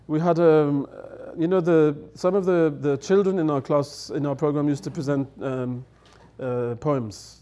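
A man's voice: fairly high pitch, warm tone. A middle-aged man, a native English speaker, telling anecdotes in a chatty way, laughing and acting out quoted lines, at a table on a studio set. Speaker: guest elder. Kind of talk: storytelling anecdotes. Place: studio set with dark curtains.

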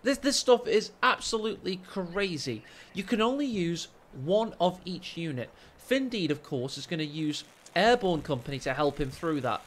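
A man with a deep voice casting a strategy game live, excitable and joking, speaking into a desk microphone in a small room at home. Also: normal speed, hardly any pauses. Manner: excitable, jokey, rising with the action. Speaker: game caster. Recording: game sound effects under the voice. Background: game gunfire and ambience.

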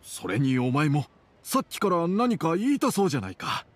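A man playing a gruff but fond middle-aged father in an anime game, speaking in a measured, formal, slightly awkward way. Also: a little high, wildly swooping pitch. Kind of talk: Japanese anime game dialogue acting.

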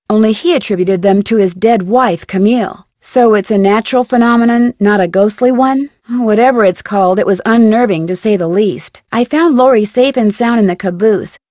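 A young American girl detective played by an actress from a mystery video game. Her voice sits fairly high and is recorded dry and close. She is plucky, courteous and full of questions, with clear enunciation. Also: a little mellow, dry recording, natural voice. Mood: inquisitive, polite. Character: young female amateur detective, curious, polite, plucky. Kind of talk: video game dialogue acting.

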